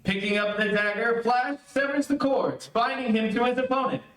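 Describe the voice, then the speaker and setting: A man's voice: extremely high pitch, normal speed, a bit bright. A man reading scripted narration for an old-time radio adventure serial. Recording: very echoey, distant microphone.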